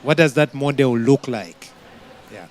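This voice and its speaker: A man with a Zambian accent asks a question at a panel event, deliberately and with pauses. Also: mid-range voice. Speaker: audience questioner. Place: conference pavilion with small audience. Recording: audible room noise at event.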